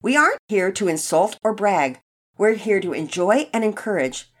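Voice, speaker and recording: A woman reads as a humble, patient father from a children's story. The delivery is gently reasoning, with animated rises and falls. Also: medium pitch, normal speed. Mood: placating.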